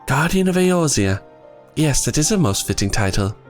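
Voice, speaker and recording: A man reading as a grave, earnest young scholar who speaks in formal, old-fashioned phrases, his voice a bit worn and scratchy. The delivery rolls up and down with lively pitch. Faint game music plays underneath. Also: normal speed, close to an everyday voice. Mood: solemn. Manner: archaic, formal phrasing, read in his own voice. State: losing his voice.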